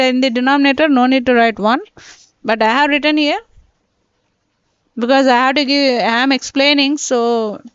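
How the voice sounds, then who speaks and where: A high-pitched woman teaches an online class step by step, repeating points and checking in with students. Her voice is dry and dull, like lo-fi laptop audio. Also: clear, resonant tone, no echo. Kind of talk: live online class lecture. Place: indoors, teaching from a computer. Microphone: laptop mic.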